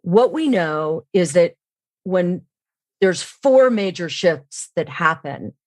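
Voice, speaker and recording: A woman's voice: on the low side, lively intonation, slow, darkish tone, no room sound. A woman explaining things as an expert podcast guest, in an instructive way, often posing questions and answering them herself. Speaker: guest, sales training expert. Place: remote online call.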